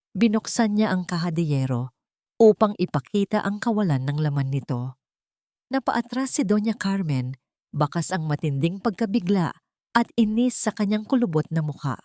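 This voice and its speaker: Woman narrator, scripted dramatic storytelling, measured, slightly low pitch, dry close-mic sound. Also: warm tone, no room sound.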